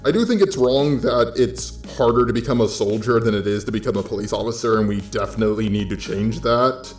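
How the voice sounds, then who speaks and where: A man's voice: on the low side, brisk, roomy sound, soft background music. An American man giving a blunt, casual, sweary opinion monologue as a video commentator.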